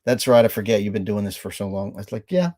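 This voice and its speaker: A man's voice: on the low side, expressive, fast talker, warm tone. A nasal man in his fifties, co-hosting a casual livestream from an RV couch, telling stories and reading out viewer comments. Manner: rambling storyteller, reads viewer comments aloud. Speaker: livestream co-host. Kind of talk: casual live chat. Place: living room of an RV, on a couch. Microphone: webcam framing, seated.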